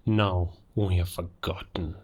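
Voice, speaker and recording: Guy doing a low voiced werewolf bad guy, super slow n deliberate, proud and threatening, audio roleplay. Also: fairly even intonation, darkish tone, breathy edge, exaggerated voice. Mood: grandiose menace. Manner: slow, deliberate, menacing, grandiose. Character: menacing male werewolf villain, proud, gloating self-styled king of beasts. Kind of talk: cinematic audio roleplay monologue.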